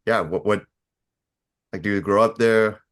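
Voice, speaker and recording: A man hosting a podcast, asking questions casually in a low-key, laid-back manner. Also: fairly even intonation, measured pace, a bit bright. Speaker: podcast host. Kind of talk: casual interview chat.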